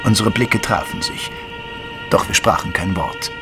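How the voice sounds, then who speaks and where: A man playing an earnest Victorian doctor who narrates a detective audio drama in a measured, literary way. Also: sits low, over music. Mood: measured, grave. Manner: measured, literary storytelling delivery. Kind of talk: scripted German audio drama narration with dialogue.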